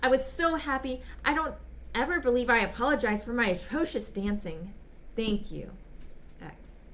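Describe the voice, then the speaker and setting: Smitten gentleman letter writer played by a woman, old-fashioned, courteous and gushing, fairly high voice, faint noise behind. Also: lively intonation, moderate pace. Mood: eager, grateful. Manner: polite, apologetic, ardent, old-fashioned phrasing. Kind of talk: dramatic reading of love letters.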